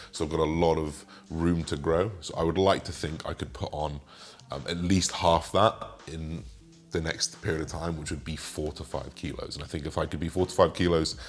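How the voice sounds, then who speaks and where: A man in his twenties with a slightly raspy voice, chatting casually as he answers viewer questions and rambles with fillers. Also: rumbling low. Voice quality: raspy today. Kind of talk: casual Q and A monologue.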